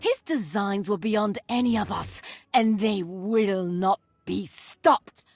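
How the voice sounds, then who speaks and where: An actress playing an imperious, zealous cultist villainess in a video game, defiant and contemptuous. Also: female voice, medium pitch, slow pace. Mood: reverent scorn. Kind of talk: dramatic villain game acting.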